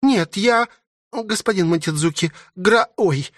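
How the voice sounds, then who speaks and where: A man's voice: sits high, takes it slowly, a bit bright, dry recording, clean studio sound, pitched above the performer's own voice, heavily acted. A man voicing a polite, devoted young maid who speaks deferentially in an audiobook. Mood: flustered. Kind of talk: audiobook character voice.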